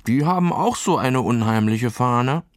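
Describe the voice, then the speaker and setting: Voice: mid-range voice, expressive, measured pace, dry recording, studio quality, pitched above the performer's own voice, lightly acted. A storyteller voicing placid, timid meadow sheep who care only for grass, bleating and munching as they talk. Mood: wary. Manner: bleating, munching with full mouth.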